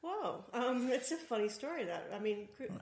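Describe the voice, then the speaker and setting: A woman with an Australian accent co-hosting a casual podcast, speaking quietly and hesitantly from off to the side of a shared microphone. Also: on the high side, very animated, normal speed. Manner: soft-spoken, quiet, hesitant with ums. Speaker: co-host, tech journalist. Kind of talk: casual chat. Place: home apartment. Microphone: sharing one mic, sitting off to the side. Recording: roomy, quiet voice off-mic.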